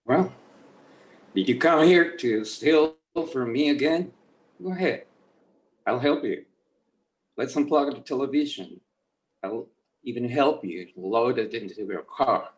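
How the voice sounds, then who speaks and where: A world-weary old Mexican grandfather in a drama table read, played by a man. He talks slowly and sternly, admonishing in a mid-pitched voice. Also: lively intonation, close to an everyday voice. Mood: stern, forgiving. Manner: measured, admonishing.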